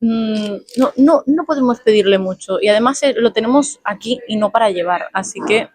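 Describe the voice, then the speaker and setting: A young woman with a Spain Spanish accent, half hoarse, chatting casually while reviewing food for her vlog. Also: fairly high pitch, animated, darkish tone. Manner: chatty, playful, quick asides. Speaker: food vlogger. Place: fast food restaurants and the street outside.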